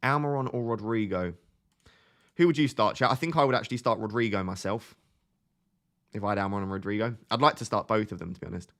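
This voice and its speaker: A man's voice: fairly low pitch, quick pace, fairly bright tone. A young man in his twenties hosting a live stream, chatting casually and thinking aloud as he answers viewer questions. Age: 20s. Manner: thinks aloud in a chatty way, often restarting phrases.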